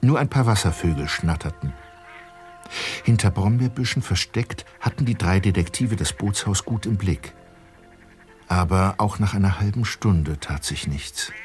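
A man narrating a German detective radio play as an expressive, measured storyteller. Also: low-pitched, faint music behind. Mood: calm, scene-setting.

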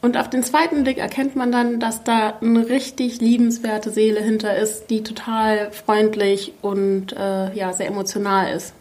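A woman's voice: mid-range voice, level tone, unhurried, hardly any pauses, darkish tone. A young woman from Berlin chatting informally and colloquially as a podcast co-host. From Berlin, Germany. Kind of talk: casual chat.

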